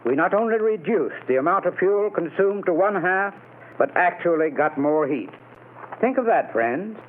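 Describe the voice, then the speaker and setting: A man delivering a 1930s radio sponsor pitch as an announcer, in a formal, emphatic, declamatory way. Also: animated, normal speed. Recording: tinny, narrow vintage radio recording.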